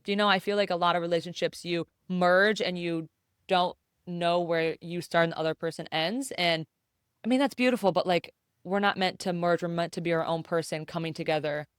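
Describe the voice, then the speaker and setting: Smooth-voiced young woman podcast host. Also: fairly low pitch, dry recording, studio quality. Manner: rambling, filler-heavy, swears casually. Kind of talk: casual podcast chat.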